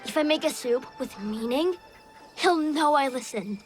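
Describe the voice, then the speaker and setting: An eager game kid, really high voice, chatty and earnest, bit of music behind. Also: female voice, animated, moderate pace. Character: eager, earnest youngster wanting to please a mentor. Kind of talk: video game cutscene acting.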